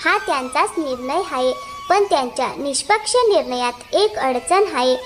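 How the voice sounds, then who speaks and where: A woman voicing a scheming, insistent elder married sister from a village in an animated moral story. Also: high voice, normal speed, over music. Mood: pleading, persuasive.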